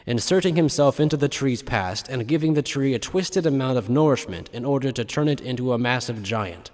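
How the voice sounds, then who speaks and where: A male narrator with a medium-pitched voice in long, hall-like reverb. He reads scripted dramatic story narration. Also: fairly quick, darkish tone.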